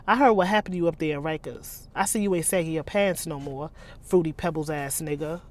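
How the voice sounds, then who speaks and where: A woman's voice: sits low, a little fast, darkish tone, natural voice. Urban-fiction young man, twenty read by a woman, American, mouthy, slangy, taunting hustler. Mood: mocking. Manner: brash, mocking, slangy. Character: cocky twenty-year-old man, mouthy street hustler.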